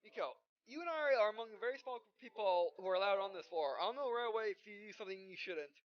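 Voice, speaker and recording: A man with a high voice plays a game and talks through it casually. He reads dialogue aloud haltingly, tripping and correcting himself. The recording is dull and lo-fi. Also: animated. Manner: halting, stumbling read-aloud with self-corrections. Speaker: gaming video host. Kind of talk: casual let's play, reading dialogue aloud.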